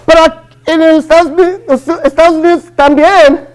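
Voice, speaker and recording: A man in his early thirties preaches with a Chicano accent, his voice sitting very high for a man. He talks hesitantly, filling pauses and restarting his sentences as he goes. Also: steady tone, rough recording. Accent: Chicano Spanish. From Los Angeles, family from Guatemala. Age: early 30s. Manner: hesitant, many fillers and restarts. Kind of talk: Spanish-language sermon.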